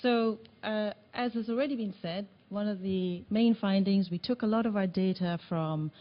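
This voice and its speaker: A woman from Tanzania presenting a report at a meeting, speaking earnestly with some halting restarts, in a meeting room. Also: medium pitch, muffled recording. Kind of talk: conference presentation.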